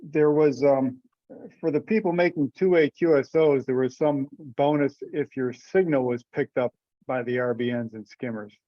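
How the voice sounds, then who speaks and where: A man's voice: medium pitch, normal speed. Man on a dull conference line. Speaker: meeting participant. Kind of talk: casual explanation in a meeting. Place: remote teleconference. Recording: dull, muffled conference call audio.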